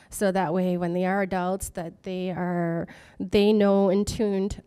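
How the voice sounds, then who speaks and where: Smooth-voiced woman founder, earnest. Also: medium pitch, warm tone. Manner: earnest, halting with many fillers. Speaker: startup founder. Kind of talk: personal story at a talk. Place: office event space with audience.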